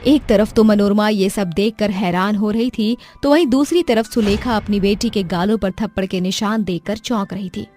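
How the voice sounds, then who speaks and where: A woman's voice: medium pitch, normal speed, few pauses, a little mellow. Woman narrating a story over music. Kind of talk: scripted dramatic story narration. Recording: music behind the voice.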